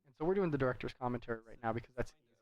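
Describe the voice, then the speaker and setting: Man, medium pitch, casual game commentary, rambling, hedging, chatty delivery, very little room sound. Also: expressive, very fast, darkish tone, no room sound, lo-fi sound. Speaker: gameplay commentator.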